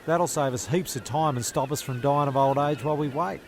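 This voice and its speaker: A man narrating and acting as a fussy, deadpan castaway in a comedic radio play, with a creaky voice. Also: mid-range voice, fairly quick, a little hiss or hum, close to an everyday voice.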